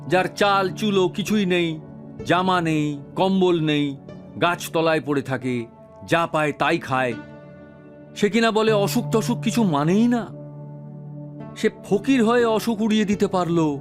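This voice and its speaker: A man's voice: sits high, unhurried, pauses often, over music. A man playing a pampered, imperious king in an audio story, grumbling and commanding. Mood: aggrieved, musing. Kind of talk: Bengali audio story acting.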